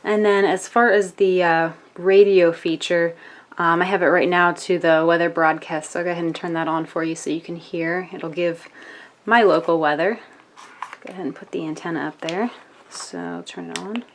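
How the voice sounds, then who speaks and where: A woman's voice: fairly low pitch, fairly even intonation, moderate pace. An American woman narrating a casual product demonstration as a reviewer, explaining step by step in an informal, hesitant way.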